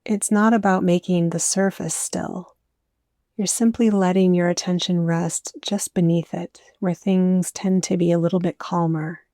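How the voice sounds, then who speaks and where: A woman leading a guided meditation, speaking gently and soothingly in scripted, softly inviting phrases. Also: lowish voice, steady tone, measured pace, dry recording, studio quality.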